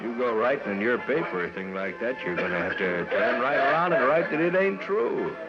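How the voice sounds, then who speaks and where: A muffled vintage radio western with music, and an actor as a heavy-set saloon tough. He drawls in a folksy Western accent, swinging widely in pitch, sly and menacing. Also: animated, brisk, close to an everyday voice. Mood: guarded, sly. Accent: American Western. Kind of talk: 1950s radio western acting.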